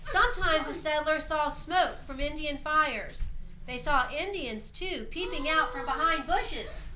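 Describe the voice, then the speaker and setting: A woman's voice: high-pitched, a little slow. A woman reading a story aloud as narrator of a homemade family play, prompting others with off-the-cuff directions. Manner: coaching, prompting others to repeat lines. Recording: roomy, noisy amateur home recording.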